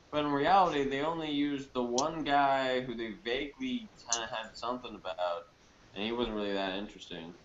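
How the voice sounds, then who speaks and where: Slangy young man in an echoey room. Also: mid-range voice. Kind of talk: casual chat, TV episode review. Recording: roomy muffled mic, clear noise.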